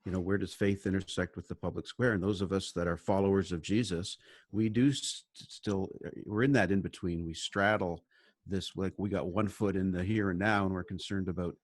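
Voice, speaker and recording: Deep-voiced podcast host, dry sound. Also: male voice, fairly quick, no echo. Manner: relaxed, thinking aloud. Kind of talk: casual conversational talk.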